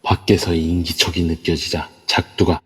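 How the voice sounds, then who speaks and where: Deep husky male narrator in reverb. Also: little pitch movement, normal speed, a bit bright. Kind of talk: scripted audio drama narration. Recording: long reverb throughout.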